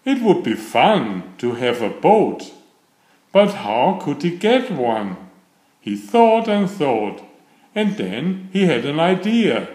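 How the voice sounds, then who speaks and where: A man reading a children's story aloud in a deliberate, expressive storytelling manner, with a roomy sound. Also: fairly high pitch. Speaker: storybook narrator. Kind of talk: children's read-aloud narration.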